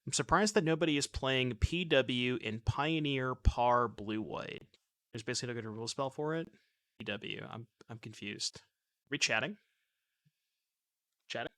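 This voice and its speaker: Bright-voiced joking male streamer. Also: medium pitch. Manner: joking, chatty, answers chat while playing. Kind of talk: casual gameplay commentary reading chat. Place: home streaming room. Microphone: desk mic with webcam.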